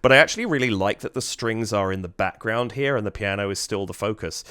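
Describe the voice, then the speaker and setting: A man's voice: lowish voice, quick pace, fairly bright tone, clear, resonant tone, no echo. A man hosting a reaction video, slightly stuffy from a cold, thinking aloud with admiring, casual music commentary. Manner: admiring, thinks aloud, hums melody lines.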